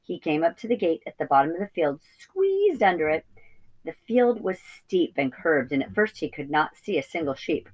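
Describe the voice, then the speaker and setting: A woman reading a children's chapter book aloud in an expressive teacher's storytelling style. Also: fairly low pitch, moderate pace, low-quality recording.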